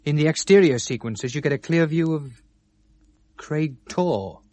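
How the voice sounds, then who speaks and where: A man's voice: a little high, expressive, measured pace, darkish tone. A man acting in a 1970s British radio drama, playing a proud, defensive photographer with an indignant, insistent manner. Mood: tense, defiant. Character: proud, defensive male picture-postcard photographer under questioning. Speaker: actor in a radio play.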